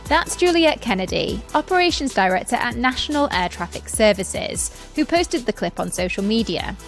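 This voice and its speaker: A woman's voice: a little high, lively intonation, normal speed, soft background music. A woman presenting scripted news as a podcast host.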